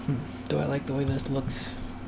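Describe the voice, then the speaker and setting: A man talks to himself while he works, pausing often and circling back to his points. His voice is medium in pitch and has turned raspy and dry from a long session. A steady air-conditioner hum sits clearly behind him. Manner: musing, hesitant, thinks aloud with many pauses. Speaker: animator live-streaming his work. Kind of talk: rambling casual live-stream talk while working. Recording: noisy room hum behind voice.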